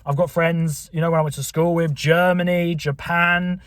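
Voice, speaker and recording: A man's voice: fairly high pitch, steady tone, normal speed, a bit bright, dry recording, studio quality. A man from England with a southern English accent from Buckinghamshire, rambling to the camera from his car, with many fillers and restarts. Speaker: channel host. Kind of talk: rambling casual monologue to camera. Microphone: camera mic.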